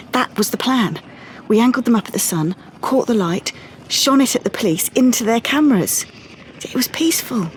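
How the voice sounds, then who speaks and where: A woman's voice: medium pitch, a little hiss or hum, close to an everyday voice. An actress playing a guarded, principled young woman in an audio play, speaking in short clipped phrases. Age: young adult. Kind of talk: dramatic monologue and dialogue, audio play.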